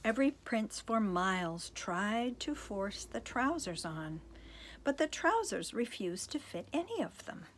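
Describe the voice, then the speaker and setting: A woman's voice: fairly high pitch, sing-song, measured pace, slight background noise. A woman reading a children's picture book aloud, playful and chuckling, with asides to her listeners. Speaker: storytime reader, teacher.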